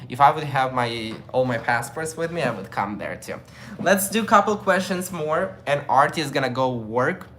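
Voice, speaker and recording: Male streamer, young adult; casual, rambling chat with viewers, mid pitch, faint noise behind him. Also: animated, normal speed, fairly bright tone. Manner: loose, chatty, with many fillers and restarts. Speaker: livestream host. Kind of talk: casual livestream chat, reading viewer comments.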